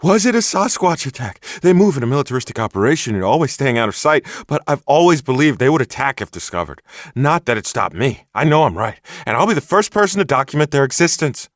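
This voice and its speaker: A man voicing an excitable, pushy male Bigfoot hunter who talks in a shrill, frantic, indignant way, in an audiobook. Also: fast, dry recording, studio quality, close to an everyday voice. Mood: excited.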